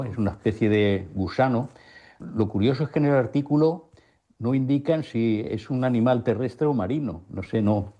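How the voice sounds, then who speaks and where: A man with a low, very mellow voice talks through science in an informal, explanatory way. He is a panelist who feels his way through points tentatively. The recording is slightly dull and lo-fi.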